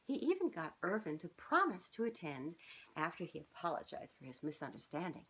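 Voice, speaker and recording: A woman's voice: low voice, fairly quick, breathy edge. A woman in her fifties reading a children's storybook aloud, expressively and informally, with chatty asides to young listeners. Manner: expressive, informal, often breaking off to comment. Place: living room sofa, indoors. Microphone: camera a short distance away.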